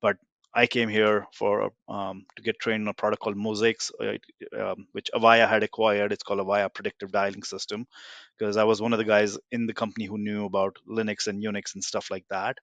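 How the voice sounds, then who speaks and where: A man in his forties from India talks with an Indian accent in a low voice. He rambles along in a friendly, self-deprecating way, full of "um" and "you know". The sound is dry and close, with no room around it. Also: level tone, a little fast, a bit bright, dry recording, dull audio. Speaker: guest, tech company founder. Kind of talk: casual interview talk.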